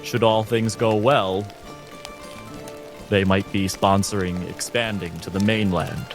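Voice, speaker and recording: A man voicing a deferential but wry lumber worker in a tabletop game, with a halting, pointed manner. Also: lowish voice, fairly even intonation, soft background music. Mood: polite, wry.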